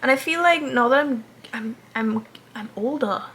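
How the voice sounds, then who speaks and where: A woman's voice: fairly high pitch, moderate pace, a bit bright, a little hiss or hum. A woman in her twenties chatting casually while doing her makeup, rambling and interrupting herself, sometimes dropping into a whisper. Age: 20s. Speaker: vlogger.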